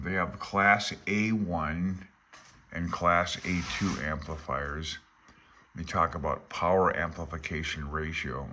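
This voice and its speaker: Very deep-voiced man, informal explainer. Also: pushed and bright. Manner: folksy, offhand, thinking aloud. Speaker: instructor reading through a radio handbook. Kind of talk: informal explanation mixed with reading aloud.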